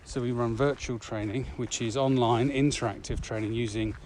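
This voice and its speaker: A man co-hosting a podcast, asking questions and explaining in a measured, casual way while walking outdoors. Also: medium pitch, steady tone, darkish tone. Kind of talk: casual interview-like conversation. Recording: clear outdoor noise, clicks and traffic.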